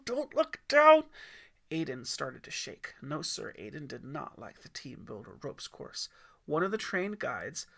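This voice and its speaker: Gravelly, bright male storyteller. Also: fairly high pitch. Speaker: author and audiobook narrator. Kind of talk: expressive scripted story narration.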